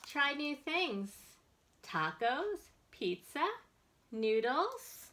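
Middle aged american lady doing storytime for little kids, really bright voice, takes it slow and expressive. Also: high voice. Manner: expressive, deliberate delivery for young children. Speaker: children's librarian hosting storytime. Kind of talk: children's storytime read-aloud and instruction. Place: indoors, plain-walled room.

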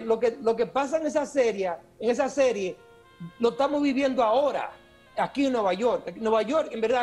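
A middle-aged Dominican man with a Cibaeño accent, talking on a webcam video call as an actor guest, animated and often restarting his words. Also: very high voice. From Dominican, raised in the United States. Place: small room with posters indoors.

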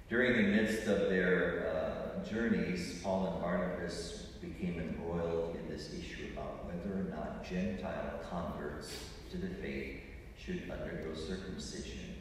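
An older male priest gives a homily in a deep, measured voice. The sound rings through a large church with long reverb, and clear background noise sits around his words. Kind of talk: homily, measured prepared delivery. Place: large church interior, at an ambo. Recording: echoey church from far off, noisy.

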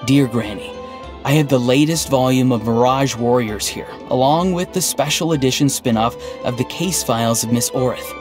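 An actor playing an earnest, odd, melancholy young man in a video game, speaking in a halting, stiffly sincere deadpan. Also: mid-range voice, natural voice. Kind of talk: video game dialogue acting. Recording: game music underneath. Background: background game music.

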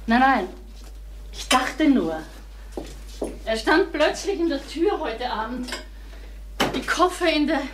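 A woman's voice: sits high, expressive, takes it slowly, long pauses. Anxious mother, noisy old recording. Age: middle-aged. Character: anxious, gentle wife and mother. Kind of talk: German crime radio drama acting.

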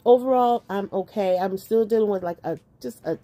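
Chatty woman with a slightly raspy voice. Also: medium pitch, a little fast, mellow. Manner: chatty, affectionate, laughs often. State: recovering from illness, dry cough. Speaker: craft channel host. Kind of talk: casual voice-over while crafting. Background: faint background music.

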